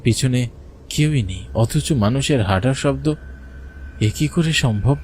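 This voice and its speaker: A man's voice: medium pitch, a little slow, a little mellow, lightly acted. Male actor as nervous village fisherman, cartoon ghost-story narrator, suspenseful and urgent, noisy ambient background. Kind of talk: dramatic first-person horror storytelling for a cartoon.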